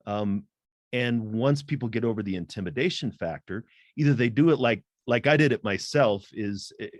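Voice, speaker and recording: A middle-aged man talks as a podcast guest, measured and deliberate, often checking in with "right?". His voice is somewhat low. The sound is dry and close. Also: no room sound. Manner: deliberate, thoughtful, frequent "um" and "right?". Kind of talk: interview conversation.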